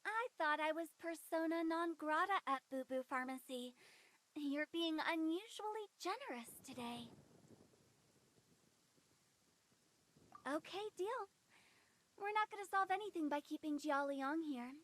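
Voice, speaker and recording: A woman's voice: high-pitched, lively intonation, moderate pace, fairly bright tone. An actress voicing a cheeky, shrewd young woman in a video game, with a teasing, sardonic, challenging way of talking. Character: cheeky, shrewd young female funeral director.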